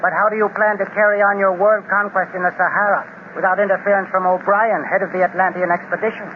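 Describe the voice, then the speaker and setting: A servile henchman, played by a man, answers his master in a stiff, formal, high voice. It is a 1930s radio serial. The sound is thin and phone-like, with faint hiss behind it. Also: little pitch movement, fast, close to an everyday voice. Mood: deferential. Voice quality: stiff, formal, deferential speech. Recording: tinny, hissy old radio transcription.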